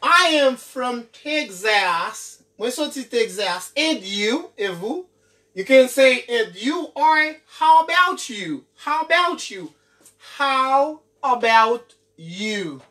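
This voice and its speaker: A young man teaching an English lesson at a whiteboard, slowly repeating each phrase and often checking with "ok?". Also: very high-pitched, big pitch swings, pushed and bright. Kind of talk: classroom lesson. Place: small indoor room at a whiteboard. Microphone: camera mic from a few feet away. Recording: voice from far off the mic.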